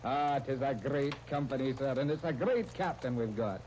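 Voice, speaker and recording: An actor playing an eager, deferential, talkative Scottish private in a 1930s radio serial. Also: male voice, medium pitch, moderate pace, darkish tone, close to an everyday voice. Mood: deferential, hopeful. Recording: noisy 1939 radio transcription disc.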